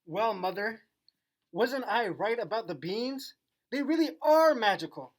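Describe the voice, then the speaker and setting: A woman's voice: fairly high pitch, expressive, unhurried. A woman voicing a polite, eager young boy in a children's puppet show. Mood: proud, eager.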